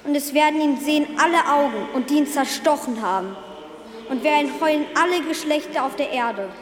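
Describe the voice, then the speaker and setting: A woman's voice: sits high, brisk, natural voice. A woman playing a solemn scripture reciter in a religious pageant, declaiming as in liturgy for a radio drama. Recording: echoey large hall, music behind.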